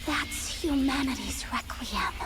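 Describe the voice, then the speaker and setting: Seductive female villain, soft taunting whisper, very slow delivery, loud score underneath. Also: sits high, loud music behind the voice. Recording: loud game score behind.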